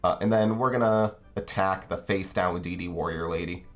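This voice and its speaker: Low-voiced, slightly congested man commentating. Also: fairly even intonation, brisk. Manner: thinking aloud, explaining plays. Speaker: card game channel host. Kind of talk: casual gameplay commentary. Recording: slightly dull recording, faint background music.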